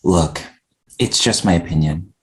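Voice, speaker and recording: Man playing an earnest, low-voiced poet. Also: measured pace. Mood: opinionated. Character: earnest male poet, opinionated yet apologetic and polite. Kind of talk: screenplay table read.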